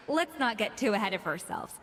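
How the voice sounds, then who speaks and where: A woman's voice: a little high, expressive, a little slow, a bit bright, in a large hall. A woman voicing a composed female head chef who speaks in a matter-of-fact, dryly dismissive way in a game.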